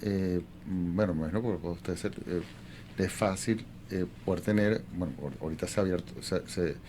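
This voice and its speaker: Guy hosting a radio interview, lowish voice, stumbles over his questions, bit of background noise. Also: a little fast, darkish tone. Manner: tentative, repeats words while forming questions.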